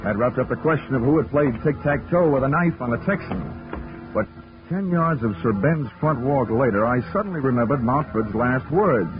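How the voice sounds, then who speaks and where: An actor playing a sardonic, unflappable hardboiled investigator with an American accent, acting and narrating in a 1940s radio drama. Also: male voice, mid-range voice, moderate pace, natural voice. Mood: wry narration. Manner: sardonic, deadpan, slangy. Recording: muffled 1940s radio recording, music behind.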